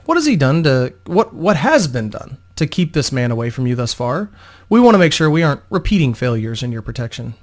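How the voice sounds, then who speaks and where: A man's voice: mid-range voice, very animated, fairly quick, lightly acted. A man voicing a female bodyguard and adventurer who is courteous, practical and protective, in a storybook reading. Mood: courteous, businesslike.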